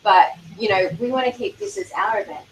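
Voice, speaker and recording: A woman's voice: medium pitch, sing-song. Australian woman speaking at a seminar panel. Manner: measured, thoughtful with frequent fillers. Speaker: library event organiser. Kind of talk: panel discussion answer. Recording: echoey room from far off, faint noise.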